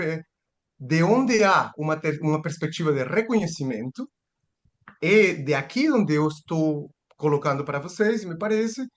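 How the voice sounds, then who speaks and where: A man giving a seminar lecture, thinking aloud with restarts and rhetorical questions. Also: fairly high pitch.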